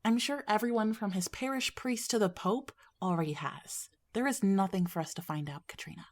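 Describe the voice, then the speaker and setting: A woman's voice: mid-range voice, normal speed, lightly acted. A woman playing a sceptical, cautious teenage schoolgirl in audio drama dialogue, with a dry, dismissive manner. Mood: sceptical, wary.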